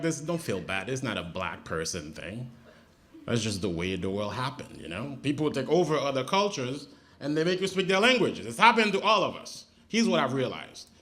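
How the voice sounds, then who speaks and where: A Haitian man whose first language is Haitian Creole, performing an animated stand-up set on a comedy stage and jumping into high mock voices for punchlines. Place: comedy stage before a laughing crowd. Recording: loud noise, audience laughter.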